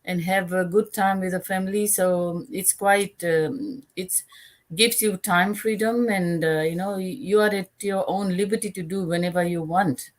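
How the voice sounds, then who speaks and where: An older woman from Fiji, a retired guest answering interview questions in a measured way with fillers. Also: lowish voice, fairly even intonation.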